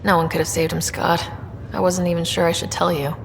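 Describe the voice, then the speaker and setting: Young female game heroine, resolute leader, lowish pitch, hall reverb. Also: level tone, brisk, creaky, slight background noise. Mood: fond, teasing. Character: resolute young woman explorer, a space pioneer leader. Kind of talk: video game dialogue acting.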